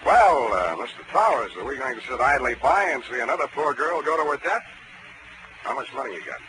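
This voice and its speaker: An actor playing a hard-boiled, wisecracking private detective in a 1940s radio drama, with a dry, slangy delivery. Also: male voice, mid-range voice, very animated, fairly quick, background noise, natural voice. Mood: sly. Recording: vintage 1940s radio broadcast, music underneath.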